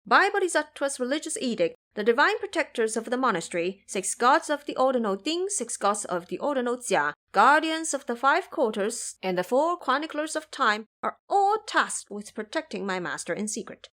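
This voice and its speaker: A woman's voice: on the high side, sing-song, normal speed, few pauses, fairly bright tone, pitched above the performer's own voice. A woman voicing a brash, cocky male monkey disciple in an audio drama, with a scolding, bossy and self-assured manner. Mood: confident.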